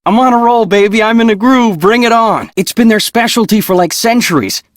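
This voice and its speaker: Man acting a cocky, slangy higher-voiced slacker. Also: brisk, no room sound, close to an everyday voice. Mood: eager, playful. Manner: flippant, slangy, sarcastic. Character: cocky, laid-back slacker male woodcarver in a mystery game.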